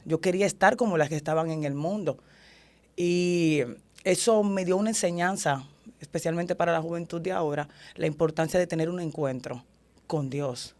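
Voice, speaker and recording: A woman's voice: fairly low pitch, darkish tone. Earnest Dominican woman giving testimony. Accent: Dominican Spanish. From Dominican Republic. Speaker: guest, prophet and ordained minister. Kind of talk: testimony in an interview.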